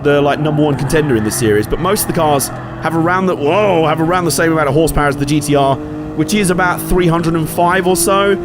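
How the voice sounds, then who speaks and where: A man with a slightly hoarse voice from a cold, casually commentating his own racing game with jokes and swearing. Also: a little high, heavy background noise. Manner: chatty, jokey, sweary, reacting aloud to the race. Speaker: gaming channel host.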